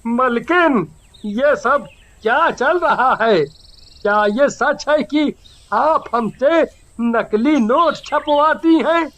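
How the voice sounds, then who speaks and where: A man's voice: extremely high pitch, animated, drawn-out words, fairly bright tone, exaggerated voice. A man voicing an earnest, plain-spoken village workman in cartoon story dialogue. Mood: alarmed.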